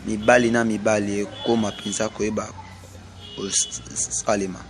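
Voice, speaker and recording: A man from Congo with a Congolese French accent, delivering a slow, repetitive prophetic sermon. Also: fairly low pitch, little pitch movement, a little hiss or hum. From Congo (DRC). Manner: slow, repetitive, emphatic pronouncements. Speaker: self-styled prophet.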